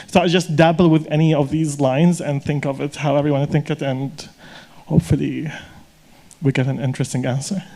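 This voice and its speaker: A man's voice: a little high, fairly even intonation, a little fast, creaky, dark tone. A man in the audience posing a long, rambling question with many hesitations. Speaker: audience member asking a question.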